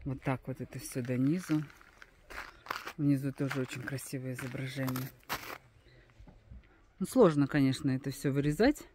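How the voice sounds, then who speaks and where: A woman's voice: very deep voice, moderate pace, long pauses, background noise. A woman giving casual, unscripted travel commentary as a guide, explaining things hesitantly with trailing asides.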